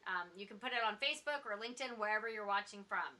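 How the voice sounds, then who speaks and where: A woman hosting a show, talking in a lively, emphatic, chatty way. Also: mid-range voice, quick pace. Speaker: podcast host. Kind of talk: casual conversational chat.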